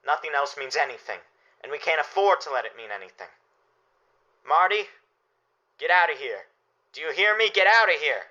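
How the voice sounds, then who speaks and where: A man's voice: fairly high pitch, lively intonation, normal speed, long pauses, pushed and bright. A man playing a stern, embattled doctor in a radio play, emphatic and unyielding. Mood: angry. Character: stern, embattled male doctor refusing his neighbors. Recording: dull lo-fi audio.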